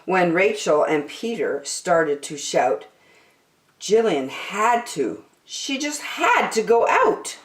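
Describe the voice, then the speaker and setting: A woman reading a rhyming picture book aloud as a storytime host, expressive and theatrical, addressing young children. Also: lowish voice, slow. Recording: roomy, echoing sound.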